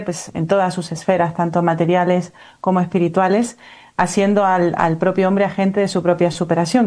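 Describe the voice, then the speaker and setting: A woman with a soft-edged, mellow voice hosts a conversational interview. Her pitch sits a little low and moves within a narrow, even band. She speaks in an affirming way to her guests. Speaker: interview host.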